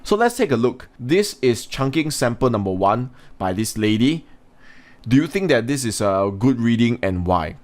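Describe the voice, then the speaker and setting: A man's voice: medium pitch, sing-song, moderate pace, darkish tone. A man teaching an English language lesson in an instructional way, talking students through points and putting questions to them. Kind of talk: scripted lesson narration with informal asides.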